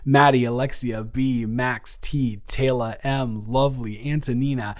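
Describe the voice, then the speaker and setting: Guy reading shoutouts for his audio channel, mid-pitched voice. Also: fairly even intonation, a little slow. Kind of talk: reading supporter shout-outs.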